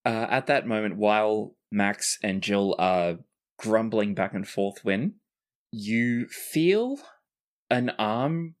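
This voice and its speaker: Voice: medium pitch, expressive, slow. A game master is telling the story of a tabletop roleplaying game. The narration is conversational, in a middle register.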